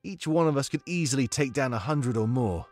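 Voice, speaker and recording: A man's voice: mid-range voice, a little fast, a little mellow, soft background music, voice pushed up, close to an everyday voice. A man voicing a young, earnest and confident rebel leader in a fantasy visual novel read aloud. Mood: composed, confident.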